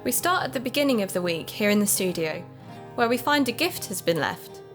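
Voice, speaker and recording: Casting: actress for a woman podcast host in an audio drama, mid-pitched, supportive and teasing, some music underneath. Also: very animated, fairly quick, natural voice. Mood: narrating.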